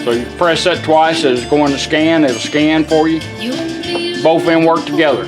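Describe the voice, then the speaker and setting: A man with a slightly high voice walks through a product demonstration in plain, informal language. He gives short step-by-step instructions in a folksy way. Guitar music plays noticeably behind him. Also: moderate pace. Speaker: product demonstrator, radio builder. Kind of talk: casual hands-on product demonstration.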